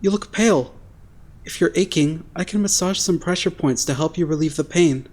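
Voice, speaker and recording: A woman's voice: on the low side, moderate pace, a little mellow, natural voice. A woman acting an earnest, naive and blunt teenage boy idol in an anime-style dub. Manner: straightforward, earnest.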